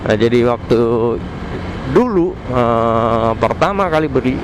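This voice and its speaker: A man's voice: mid-range voice, animated, measured pace. Jakarta man vlogging over road noise. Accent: Indonesian, Jakarta colloquial. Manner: informal, slangy. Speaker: motovlogger reviewing gear. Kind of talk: casual vlog review, talking while riding. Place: outdoors on a motorbike at night. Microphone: modified headset mic inside helmet. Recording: loud road and engine noise, helmet mic. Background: traffic and vehicle noise.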